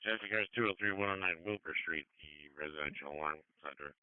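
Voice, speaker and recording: A man's voice: very deep voice, fast talker, clear, resonant tone, dry recording. A man making terse emergency calls over a two-way radio. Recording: tinny narrowband scanner radio audio.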